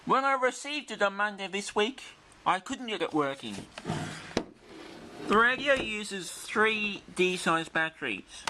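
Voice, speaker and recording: Guy doing a careful detailed demo video, bright crisp-edged voice, bit of room noise. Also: high-pitched, very animated, takes it slowly. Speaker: presenter of a vintage radio demonstration video. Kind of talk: unscripted product show-and-tell.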